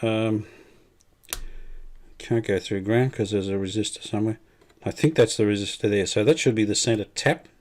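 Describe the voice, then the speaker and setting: A middle-aged man, talking casually while he tests and repairs an old radio in his workshop, thinking aloud with many pauses and restarts. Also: on the low side, darkish tone. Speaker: radio restorer, channel host. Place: home workshop bench.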